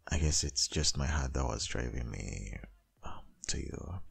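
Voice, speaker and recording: A man playing a tender, possessive dominant boyfriend in an intimate ASMR roleplay, murmuring in a gravelly, breathy, half-whispered voice. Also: rumbling low, animated, full character voice. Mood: fond, nostalgic. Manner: slow, murmuring, lingering.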